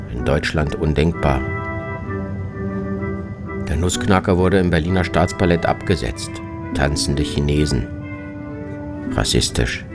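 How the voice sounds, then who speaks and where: A man narrating a scripted first-person travel report, as a reporter, with music behind his voice. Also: very deep voice. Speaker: reporter narrating own radio travel feature.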